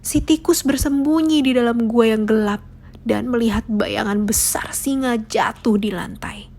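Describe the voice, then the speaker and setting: A woman storyteller reads a children's fable. Her voice sits fairly high and has a soft husky edge. The delivery is scripted narration for young listeners. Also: a little slow.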